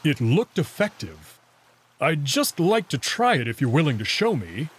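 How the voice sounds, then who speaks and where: A man's voice: on the high side, big pitch swings, moderate pace, husky. A man voicing a big, proud, teasing young male wolf in a visual novel. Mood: earnest, curious. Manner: teasing, confident. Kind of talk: visual novel character voice acting.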